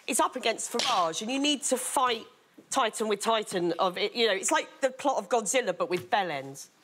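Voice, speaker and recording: A woman's voice: fairly high pitch, expressive, moderate pace, a bit bright. A woman comedian on a panel show delivering dry, punchy one-liners. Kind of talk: comic panel banter.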